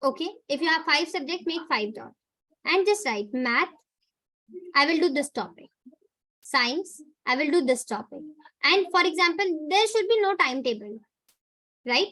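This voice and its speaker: A woman's voice: high-pitched, expressive, normal speed, long pauses, pushed and bright. A young woman teacher with an Indian English accent, giving students a chatty pep talk and checking in with "right?". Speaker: online teacher. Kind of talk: motivational talk to students in a live class.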